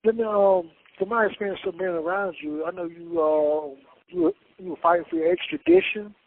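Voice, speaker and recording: Man, prison show host, high voice, gravelly, formal and solemn, tinny phone line. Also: big pitch swings, brisk. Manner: formal, solemn, activist phrasing. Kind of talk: scripted intro then interviewing. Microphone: prison phone call.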